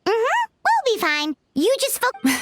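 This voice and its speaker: Small excitable fairy companion for a game: childlike squeak, very high, wildly animated. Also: female voice, slow, exaggerated voice. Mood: eager, curious. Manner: excitable, expressive. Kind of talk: animated video game voice acting.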